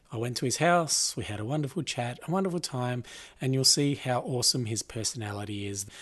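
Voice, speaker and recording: A young man hosts a casual podcast from a lounge room at home. His voice is slightly husky and breathy, at an everyday pitch. He chats loosely, laughing and starting over often. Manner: chatty, laughing, restarting often. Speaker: podcast host. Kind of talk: casual chat.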